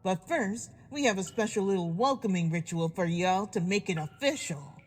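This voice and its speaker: A woman's voice: medium pitch, moderate pace, lightly acted. A woman voicing a sadistic farm pony villain in a fan audio drama, taunting with folksy mock politeness. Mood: mock-sweet menace.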